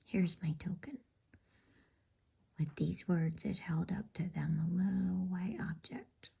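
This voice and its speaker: A woman narrating a storybook for ASMR in a hushed, unhurried, breathy whisper. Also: on the low side, flat delivery. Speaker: bedtime story reader.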